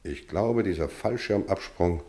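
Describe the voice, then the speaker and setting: An actor playing a kindly but firm boarding-school teacher, speaking calmly and reassuringly in a 1950s radio play. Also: male voice, sits low, unhurried. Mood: gentle. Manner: calm, reassuring, gently firm.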